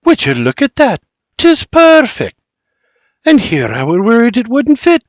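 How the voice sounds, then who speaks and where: A man's voice: a little high, wildly swooping pitch, measured pace, no echo, full character voice. A man doing a motherly farm wife. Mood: tender. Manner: warm, tender and motherly. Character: motherly middle-aged Scottish farm wife, kind.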